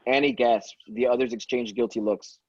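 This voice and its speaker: A man's voice: mid-range voice, steady tone, normal speed, no echo. A man reading stage directions as the narrator of a scripted table read. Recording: dull, slightly lo-fi sound.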